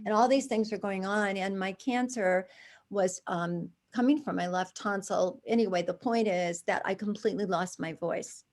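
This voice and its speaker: A woman in her sixties, a charity founder, telling her story as a guest in a conversational interview, with lively restarts and asides. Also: medium pitch, moderate pace.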